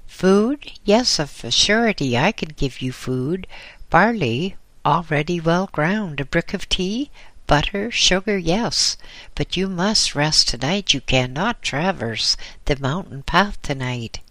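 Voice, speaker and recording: A man's voice: fairly high pitch, lively intonation, slow, bright, forceful tone, no echo, pitched above the performer's own voice, heavily acted. A man voicing an old male priest caretaker in a storybook reading, speaking in a raised, eager voice. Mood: kindly.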